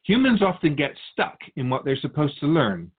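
Assured man giving measured spiritual teaching. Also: on the high side, big pitch swings, slightly rough, phone-quality sound. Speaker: spiritual guide answering questions. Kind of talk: philosophical spiritual teaching in conversation.

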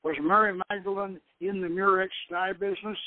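A man's voice: sits high, steady tone, brisk, no echo, narrow, tinny audio, lightly acted. A man voicing a wry, blunt, playfully grumbling male adventurer in a novel reading.

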